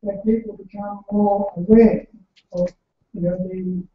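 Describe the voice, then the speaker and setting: A man in the audience making a halting, spontaneous comment full of pauses and fillers. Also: high voice, flat delivery, slight background noise. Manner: halting, many ums and you-knows. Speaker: audience member. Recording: tinny, very echoey and far off.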